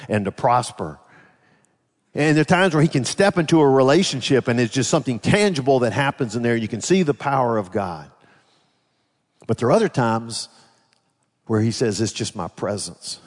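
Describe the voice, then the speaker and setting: A man preaching a sermon in a conversational manner, putting rhetorical questions to his congregation. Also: medium pitch. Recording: reverberant hall sound.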